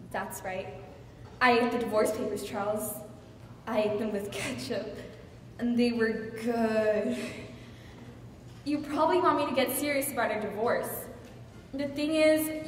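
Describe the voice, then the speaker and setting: A woman acting a wry, desperate wife refusing divorce, in a halting, theatrical dramatic monologue. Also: fairly high pitch, close to an everyday voice. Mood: defiant, wry. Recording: echoing hall from far off, faint noise.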